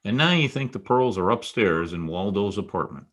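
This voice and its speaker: An actor playing a hard-boiled, wisecracking private detective in a 1940s-style radio drama, with a wry, clipped delivery. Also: male voice, lowish voice, animated, normal speed. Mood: probing, wry.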